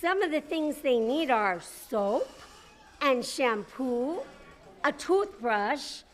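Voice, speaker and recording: Female announcer for families, high pitch, slow, deliberate, explanatory scripted read. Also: animated. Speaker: announcer for a charity appeal. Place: social hall, live.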